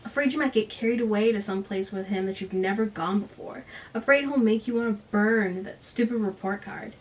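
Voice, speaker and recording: A woman's voice: medium pitch, brisk, lightly acted. A blunt young woman needles her friend in a mocking, raised voice, as a woman reads her lines aloud. She sounds a little distant from the microphone. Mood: teasing.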